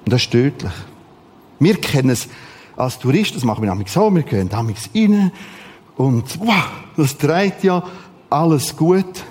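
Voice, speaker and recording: Husky Swiss German man preaching, fairly high voice. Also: big pitch swings, moderate pace, a little mellow. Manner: dramatic, emphatic delivery with repeated short phrases. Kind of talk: sermon.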